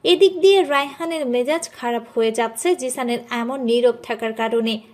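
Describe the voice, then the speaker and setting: Female story narrator, high voice, scripted dramatic storytelling. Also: normal speed.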